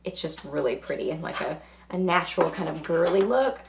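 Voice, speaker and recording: A woman, hoarse from losing her voice, chatting casually through a makeup review and rambling with lots of filler words. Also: fairly low pitch, expressive, brisk, slight background noise. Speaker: beauty vlogger.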